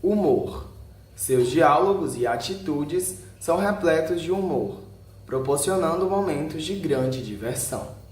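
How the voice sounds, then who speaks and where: Higher-pitched Brazilian man narrating, roomy echo. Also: lively intonation, a little slow, a little mellow. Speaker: video narrator. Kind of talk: scripted narration read aloud. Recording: roomy echo with faint background noise.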